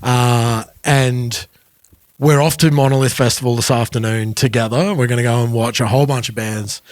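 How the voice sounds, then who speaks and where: A man with a medium-pitched voice talks in a very dry recording. He is a podcast co-host with an enthusiastic, slightly nerdy, conversational manner. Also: fairly even intonation, normal speed, no room sound. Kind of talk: casual chat.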